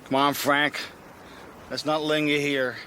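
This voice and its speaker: A man's voice: on the high side, little pitch movement, measured pace, bright, forceful tone, slight background noise. An actor playing a guarded, secretive older man who mentors a troubled boy, in naturalistic radio drama style. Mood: firm, cautioning.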